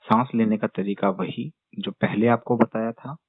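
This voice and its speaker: A man leading a guided meditation with gentle, unhurried step-by-step instructions and long pauses, as a teacher addressing a class. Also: on the low side, creaky, breathy edge, no echo. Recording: dull phone-like narrow-band sound.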